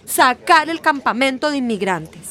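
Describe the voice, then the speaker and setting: A fussy, domineering woman of the village committee for radio drama. Her voice sits fairly high. She is scatterbrained yet imperious, giving orders as if everyone must agree. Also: animated, moderate pace, fairly bright tone. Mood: bossy.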